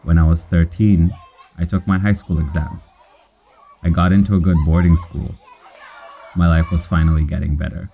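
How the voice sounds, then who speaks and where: A man from Kenya with an American accent, narrating his own life in measured, scripted, plainly worded short sentences. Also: sits low, dark tone. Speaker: storyteller.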